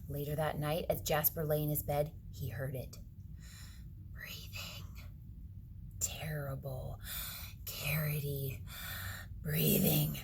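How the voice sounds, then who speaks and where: A woman reading a picture book aloud to children, dramatically, with hushed suspenseful moments and asides to her young listeners. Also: deep voice, flat delivery, slow, gravelly, pauses often, a little hiss or hum. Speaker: read-aloud storyteller for children.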